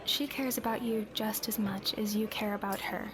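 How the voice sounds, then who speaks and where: A woman's voice: medium pitch, fairly even intonation, normal speed, a crowd in the background, natural voice, rough recording. A woman playing a young tavern keeper and confidante who talks bluntly but reassuringly, in a conversational roleplay drama. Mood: consoling.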